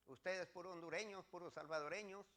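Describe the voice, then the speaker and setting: A male pastor with a Mexican accent and a fairly high voice delivers a sermon. He teaches from scripture step by step, leaning on repetition for emphasis. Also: steady tone, a little fast, sharp tone. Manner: emphatic, repeats phrases, explains step by step.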